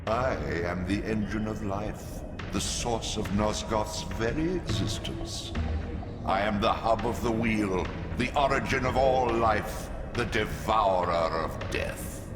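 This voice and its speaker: An actor playing an arrogant, menacing ancient worm god who speaks in grandiose declarations. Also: male voice, fairly low pitch, slow. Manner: grandiose, commanding. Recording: cavernous echoing reverb over loud music.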